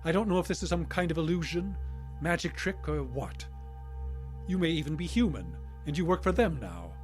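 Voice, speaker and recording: A man's voice: fairly high pitch, expressive, moderate pace, faint music behind, natural voice. A man as a defiant captive older scientist. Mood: defiant, explaining. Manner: guarded, terse, resolute. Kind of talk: radio drama acting.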